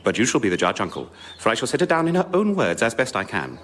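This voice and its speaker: An actor playing a genteel British nephew narrating a florid letter in a prim manner, in radio sketch comedy. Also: male voice, mid-range voice, wildly swooping pitch, quick pace, fairly bright tone. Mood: genteel, cheerful. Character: genteel holidaying nephew reading out his letter. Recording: noisy live audience recording.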